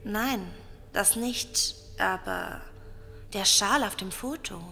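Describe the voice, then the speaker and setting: A woman playing a curt, dismissive stranger who speaks slowly and dryly. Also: mid-range voice, gravelly, pushed and bright, long reverb tail, soft background music, exaggerated voice. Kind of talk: audio drama acting.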